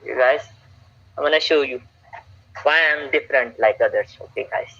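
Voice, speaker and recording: A young man from Saudi Arabia, a guest on a casual live stream, talking haltingly with many repeats and fillers. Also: mid-range voice, dull audio. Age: young adult.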